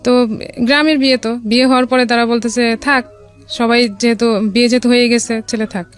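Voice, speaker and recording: A woman from Bangladesh with a Bangladeshi accent, telling a personal story as a radio guest in a casual, somewhat halting way. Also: fairly high pitch, little pitch movement, clear, resonant tone. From Dhaka, Bangladesh. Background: music.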